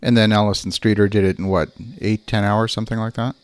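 A man's voice: fairly low pitch, level tone, fast talker. A man hosting a podcast interview, asking questions and reacting with enthusiasm. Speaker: podcast host.

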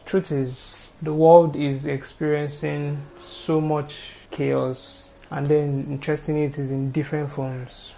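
A woman's voice: low-pitched, warm tone, slight background noise. A woman with a Nigerian accent and a small, thin voice, giving an earnest off-the-cuff talk on social issues, often repeating phrases for emphasis.

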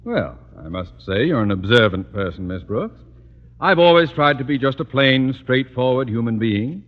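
An American actor playing a pompous, stern middle-aged principal in 1950s radio comedy, with formal, clipped diction. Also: male voice, medium pitch, animated, moderate pace, in a large hall, natural voice. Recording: muffled old radio broadcast recording.

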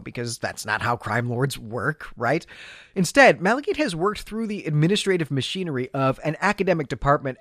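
A man's voice: mid-range voice, a bit bright, no echo, studio quality. A man hosting a podcast, talking through a story in a casual, explanatory way with emphasis on key words. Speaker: podcast host. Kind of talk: casual explanatory book recap.

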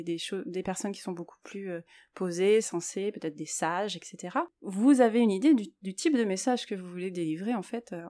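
A woman talks by herself as a podcast host, in a mid-pitched, mellow voice. She is reflective and a little hesitant, working out her thoughts aloud as she goes. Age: 30s or older. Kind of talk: reflective solo podcast talk on writing.

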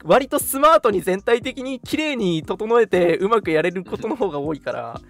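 A man's voice: sits high, big pitch swings, moderate pace, creaky, a bit bright. A young man hosting a live radio chat, moving between polite, apologetic announcements and casual banter. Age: young adult. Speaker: host of an online radio show.

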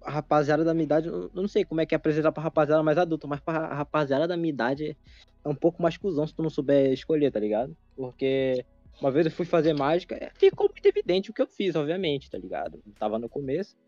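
A young Brazilian man in casual chat, excitable and slangy, swearing often. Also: fairly high pitch, fast, no room sound, muffled recording, soft background music. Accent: Brazilian Portuguese.

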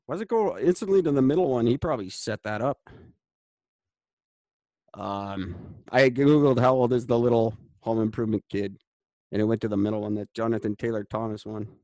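A man's voice: medium pitch, brisk, a little mellow, no room sound. A man in his forties co-hosting a podcast, rambling and sarcastic, swearing freely in casual banter. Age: 40s. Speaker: podcast co-host.

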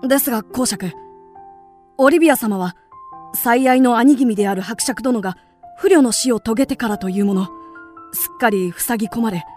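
A woman's voice: on the high side, normal speed, a little mellow, soft background music, natural voice. An actress playing a young noblewoman disguised as a devoted pageboy in a radio drama, polite, deferential and hesitant. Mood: concerned.

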